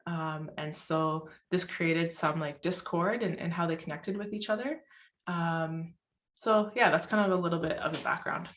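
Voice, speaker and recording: A woman's voice: fairly low pitch, steady tone, moderate pace, fairly bright tone, echoey room. A young woman from Southern Ontario introducing herself and her poems on a video call, chatty and laughing often, with many ums and likes. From Southern Ontario, Canada. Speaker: poet reading at a festival. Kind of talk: casual intro then poetry reading. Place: home, joining remotely.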